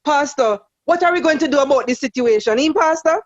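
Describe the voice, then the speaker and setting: An actress playing a nosy, indignant, pious church sister in a radio drama. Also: female voice, sits high, brisk, highs cut off, natural voice.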